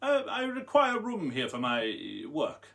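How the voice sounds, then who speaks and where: A man's voice: fairly high pitch, huge pitch swings, slow pace, creaky, pushed and bright, heavily acted. A man acting a haunted, formal scientist telling his tragic tale in a radio drama. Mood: genial, guarded. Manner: formal, brooding, theatrical.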